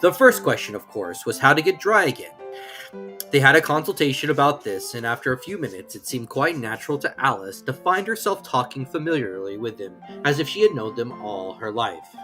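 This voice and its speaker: A woman's voice: very deep voice, sing-song, fairly quick, fairly bright tone, faint music behind. A woman narrating a children's classic as scripted storytelling, with theatrical, emphatic phrasing.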